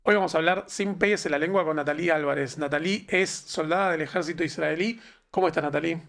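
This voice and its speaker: A man hosting an interview, asking relaxed questions and joking lightly. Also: on the high side, fast, creaky, soft background music. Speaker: interview host. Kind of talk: casual interview.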